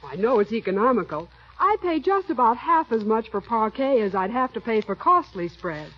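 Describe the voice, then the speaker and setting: A woman's voice: on the high side, sing-song, normal speed. A woman answering questions in a scripted radio commercial, pleasant and agreeable. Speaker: commercial guest. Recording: dull 1940s radio broadcast.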